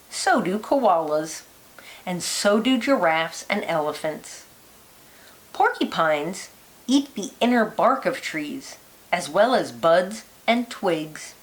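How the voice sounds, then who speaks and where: A woman reading a children's nonfiction picture book aloud like a teacher, with deliberate, lilting emphasis. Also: on the low side, poor audio.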